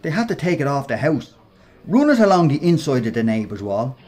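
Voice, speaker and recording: An actor with a Dublin accent playing a brash, mocking workplace bully in a radio play, talking in slangy, sneering bursts. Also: male voice, medium pitch, big pitch swings, brisk, darkish tone. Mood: smug. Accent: Irish, Dublin.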